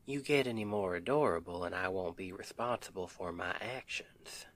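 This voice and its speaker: A man's voice: deep voice, a little hiss or hum, natural voice. A man playing a doting country husband with a Southern accent in an intimate ASMR roleplay, folksy and affectionate. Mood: playful, teasing. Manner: affectionate, unhurried, folksy, gently teasing.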